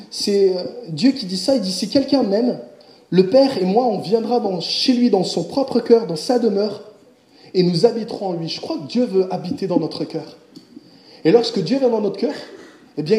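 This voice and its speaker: A man preaching a sermon, speaking directly to his congregation in an animated way. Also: high-pitched, echoey room. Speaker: pastor.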